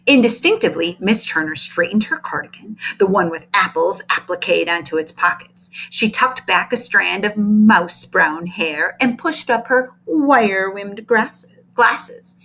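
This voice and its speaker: A woman's voice: mid-range voice, lively intonation, measured pace, hardly any pauses, bright, forceful tone, slight background noise, low-quality recording. Woman reading a children's story aloud. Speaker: storybook reader.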